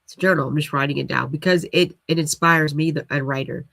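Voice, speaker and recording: A man's voice: a little high, fairly even intonation, fast, gravelly, bright, forceful tone, no room sound. A man with a nasal voice hosting a casual livestream, rambling with many fillers and restarts. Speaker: livestream host.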